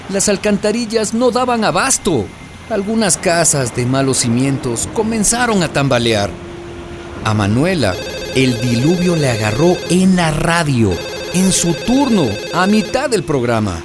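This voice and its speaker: Animated male storyteller, slightly high. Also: unhurried, background noise. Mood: storytelling. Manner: dramatic, folksy. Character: folksy male storyteller recounting a neighbourhood's disaster. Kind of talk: scripted radio drama narration. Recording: music playing behind.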